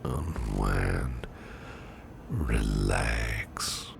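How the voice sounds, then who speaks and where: A man playing a caring, courteous businessman boss in an ASMR roleplay, speaking in a soft, soothing, half-whispered murmur. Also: a bass voice, animated, drawn-out words, vocal fry, noisy background, heavily acted. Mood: tender, soothing. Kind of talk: ASMR personal attention roleplay.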